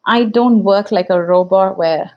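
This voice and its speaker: A woman's voice: mid-range voice, unhurried, lo-fi sound. Reflective woman with an Indian accent. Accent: Indian English. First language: Tamil. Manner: reflective, chatty, frequent fillers and restarts. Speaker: YouTube reaction host. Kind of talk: casual live-stream chat.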